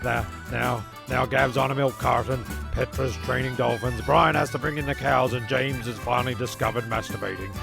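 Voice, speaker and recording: A man's voice: medium pitch, steady tone, moderate pace, creaky, few pauses, music in the background. A man playing a grumpy, rambling, foul-mouthed narrator of a comic audio serial, stumbling over his words. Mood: sardonic recap. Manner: stumbling, cynical, sweary.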